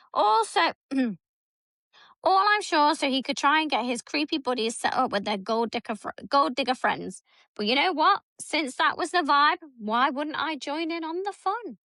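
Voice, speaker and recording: Woman, thirties, podcast co-host. Bright, higher voice, blunt and sweary, dry close mic. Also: normal speed, no room sound. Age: 30s. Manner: blunt, swears freely, animated storytelling. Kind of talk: casual chat reading a story aloud.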